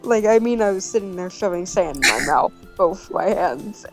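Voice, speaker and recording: A woman's voice: lowish voice, expressive, moderate pace, soft background music. A young woman chatting casually and telling rambling stories, with a giggly manner. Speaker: video co-host. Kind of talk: casual storytelling chat over a game.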